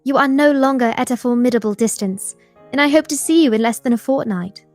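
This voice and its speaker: A woman plays an affectionate young gentlewoman in a classic audiobook, reading a letter with tender worry. Her voice is fairly high and closely recorded, over faint music. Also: brisk, dry recording. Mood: tender concern.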